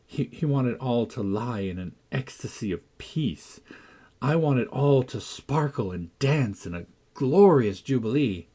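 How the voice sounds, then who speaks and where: Man playing a playful haughty teenage girl, storybook narration, excitable, emphatic, swooping pitch, husky. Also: measured pace. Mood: rapturous, eager. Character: spirited, haughty, playful teenage girl telling of her escapades.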